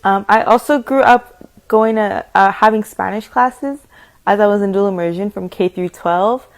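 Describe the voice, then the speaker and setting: Young woman, student testimony, plain and steady delivery, mid-pitched voice. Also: moderate pace. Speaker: student interviewee. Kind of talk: personal testimony.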